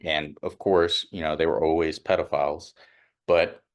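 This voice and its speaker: A man's voice: extremely low pitch, little pitch movement, moderate pace. A young man telling his own story casually, in an offhand, rambling way, with many fillers and restarts and a slightly nerdy-sounding voice. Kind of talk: casual first-person storytelling.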